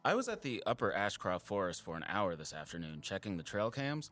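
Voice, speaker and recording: An actor playing an earnest, caring male wildlife surveyor in an audio drama, casual, sincere and expressive. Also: sits low, a little fast, faint music behind. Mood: concerned, curious. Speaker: habitat surveyor.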